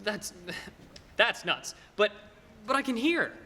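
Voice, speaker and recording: A man's voice: high-pitched, sing-song, slow pace, bright, forceful tone, heavily acted. A teenage man acting a bewildered, stammering, agitated family man in a radio play. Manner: stammering, flustered. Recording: noisy echoey hall stage recording.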